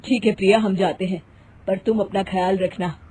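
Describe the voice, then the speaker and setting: A woman's voice: medium pitch, fairly even intonation, moderate pace, dark tone, breathy edge, voice pushed down, close to an everyday voice, rough recording. A woman voicing a patient, gullible young husband in a moral story, exasperated but soothing and indulgent. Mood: concerned. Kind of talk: acted Hindi moral-story dialogue.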